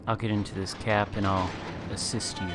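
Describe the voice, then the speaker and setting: Low, smooth late-night gamer man. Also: little pitch movement, normal speed, music in the background. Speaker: guest content creator. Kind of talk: casual gameplay chat.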